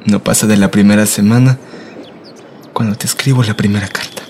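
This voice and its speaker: A man's voice: on the low side, steady tone, fairly quick, darkish tone, a little hiss or hum, natural voice. An actor playing a tender, reassuring young Mexican migrant saying goodbye to his sweetheart in a radio drama.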